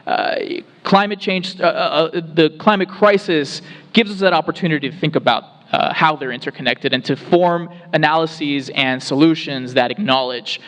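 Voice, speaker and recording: Man, Texas-raised researcher, earnest, restarts often, fairly high pitch, echoey hall. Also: moderate pace, fairly bright tone. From Rio Grande Valley, Texas. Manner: earnest, explanatory, many restarts. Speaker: researcher on panel. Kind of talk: town hall panel answer. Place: town hall meeting room. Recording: reverberant hall.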